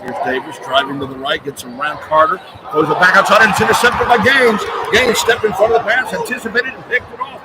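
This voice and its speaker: Guy doing live hoops play by play, excitable clipped calls, high voice, really noisy gym behind him. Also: brisk. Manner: excitable, clipped running description. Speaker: radio play-by-play announcer. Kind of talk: live basketball play-by-play commentary. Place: courtside in a basketball arena. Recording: loud arena crowd noise. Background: roaring crowd, loud gym noise.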